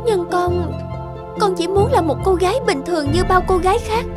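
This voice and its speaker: A woman's voice: very high-pitched, normal speed. An actress playing a meek, sorrowful sixteen-year-old village girl in a fairy-tale cartoon, timid and pleading. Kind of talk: animated fairy-tale acting. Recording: music behind the voice.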